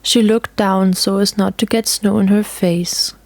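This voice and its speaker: Female story narrator: medium pitch, scripted storytelling, dry studio-like sound. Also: steady tone, measured pace, a little mellow, dry recording.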